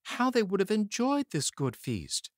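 A man's voice: high voice, wildly swooping pitch, a little slow, dry recording, studio quality, pitched above the performer's own voice, full character voice. A man voicing a tender, anxious mother in a fairy tale, plaintive and emotional. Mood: lamenting. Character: tender anxious mother lamenting her children.

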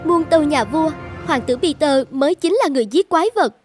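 Lady doing a proud picky princess for a fairy tale cartoon, high pitched. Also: fairly quick, soft background music, close to an everyday voice. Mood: earnest accusing.

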